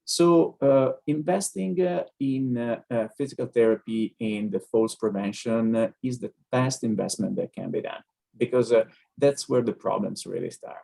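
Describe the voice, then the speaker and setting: A man with an Italian accent, a neurologist explaining things on a video-call webinar, pausing often with hesitant fillers. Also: medium pitch, gravelly, warm tone. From Italy.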